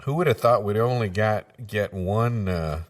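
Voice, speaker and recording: A man improvising deadpan radio announcer banter in a halting, muttering way. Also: fairly low pitch, distant mic. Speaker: improv comedy performer, mock radio announcer.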